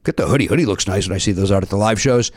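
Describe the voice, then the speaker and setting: A middle-aged man with a raspy voice hosting a comedy podcast, talking loudly with quick, self-mocking jokes into a studio mic. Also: on the low side, dry recording. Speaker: podcast host. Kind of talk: casual comedy podcast chat. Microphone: studio mic with headphones.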